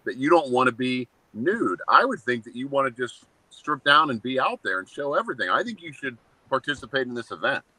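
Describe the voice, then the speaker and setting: A man in his seventies with a very bright, forceful voice. He co-hosts a relaxed chat show, cracking blunt jokes and listing his dislikes in short, punchy lines. His sound is close and dry, with no room around it. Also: mid-range voice, fairly quick, hardly any pauses, no echo, rough recording. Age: 70s. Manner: blunt, joking, rants in short flat statements. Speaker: co-host. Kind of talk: casual chat.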